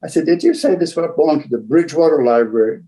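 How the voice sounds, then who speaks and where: An older man telling casual anecdotes as a guest book collector, in a rambling, halting way. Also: on the high side, warm tone, poor audio. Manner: rambling, halting raconteur who acts out remembered exchanges. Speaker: museum founder and book collector, guest storyteller.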